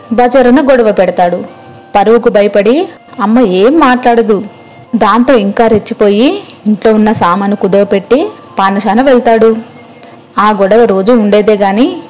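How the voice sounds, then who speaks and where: A woman's voice: on the high side, normal speed, long pauses, darkish tone, faint music behind, natural voice. A woman voicing a weary young village fruit seller, plaintive and complaining, in a moral story. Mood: resigned. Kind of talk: acted moral story dialogue.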